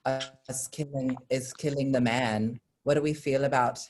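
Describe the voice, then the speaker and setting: A woman's voice: a bass voice, fairly even intonation, unhurried, a little mellow, soft background music. A woman narrating a staged reading and moderating its informal question session. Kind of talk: reading stage directions, then informal audience Q&A.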